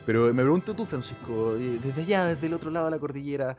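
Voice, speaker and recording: A man with a Chilean Spanish accent co-hosting a podcast, joking and dropping slang into quick interjections. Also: medium pitch, darkish tone, faint music behind. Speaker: podcast co-host. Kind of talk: casual chat.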